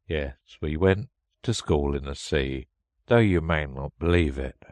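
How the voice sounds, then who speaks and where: A man voicing a melancholy, easily offended turtle creature from a storybook, in a deep, hollow tone full of sighs. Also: darkish tone, dry recording. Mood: mournful. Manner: slow, mournful, sighing. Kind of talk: storybook character reading.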